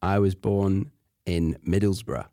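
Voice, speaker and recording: A man's voice: low-pitched, fairly even intonation, slow, dark tone, no room sound, studio quality. A man from England hosting a podcast, chatting casually in a playful, jokey way. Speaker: podcast host. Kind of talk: casual chat.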